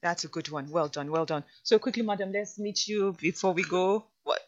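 A woman's voice: fairly low pitch, moderate pace. Effusive woman presenter. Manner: effusive, repeats phrases for emphasis. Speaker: radio presenter visiting a school. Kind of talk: lively on-location interview. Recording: dull lo-fi sound.

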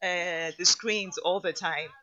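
A woman's voice: on the low side, fairly even intonation, slow, pushed and bright. A woman presenting an on-location interview, effusive and repeating phrases for emphasis. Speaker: radio presenter visiting a school. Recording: dull lo-fi sound.

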